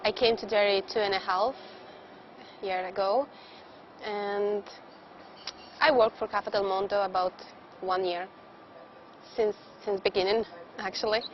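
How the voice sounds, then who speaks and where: A woman from Poland with a Polish accent, telling about her work in simple, halting phrases in an interview. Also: mid-range voice, fairly even intonation, dull audio, noisy background. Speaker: cafe worker. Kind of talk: documentary interview.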